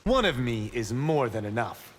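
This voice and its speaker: An actor playing a cocky, boastful young martial-arts monk in video game fight banter. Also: male voice, medium pitch, big pitch swings, normal speed, in a large hall, a little hiss or hum, close to an everyday voice. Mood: defiant. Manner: brash, proud, playful.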